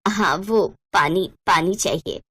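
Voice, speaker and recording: A woman's voice: on the low side, takes it slowly, fairly bright tone, slightly rough, voice pushed down, exaggerated voice. A woman voicing a worried older mother-in-law in a story, exasperated but loving, with a fretful, plaintive delivery. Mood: flustered.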